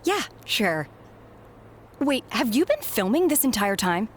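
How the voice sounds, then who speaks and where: A woman's voice: fairly high pitch, sing-song, measured pace, slight background noise. A woman voicing a dry, no-nonsense, exasperated head recruiter in a video game cutscene. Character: brusque, exasperated female head recruiter at wrestling center.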